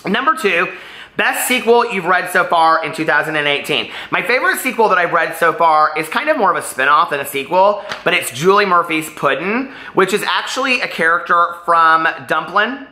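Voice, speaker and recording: A man's voice: on the high side, bright, forceful tone, reverberant. A man talking casually in a book vlog, chatty, gushing and emphatic, wandering off on tangents. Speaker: book vlogger.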